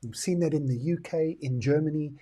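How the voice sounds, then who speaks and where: South african guy, middle-aged, soft mellow tone, hosting a talk and explaining stuff thoughtfully. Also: fairly high pitch, brisk. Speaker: host. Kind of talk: talk to camera on current affairs and the future.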